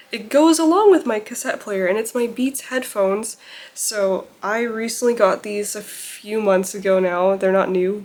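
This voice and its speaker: A young woman vlogging casually to camera at home, chatty and bubbly with lots of laughs and fillers, her voice a little off from a recent illness. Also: medium pitch. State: recovering from illness, slightly off voice. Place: indoors at home by a plain wall.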